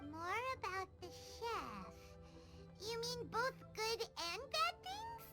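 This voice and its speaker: Casting: tiny childlike game fairy, extremely high voice, wildly animated, excitable and chatty, music behind. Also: female voice, slow pace, heavily acted. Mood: puzzled. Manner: refers to self in third person, exclamatory. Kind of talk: animated game cutscene dialogue.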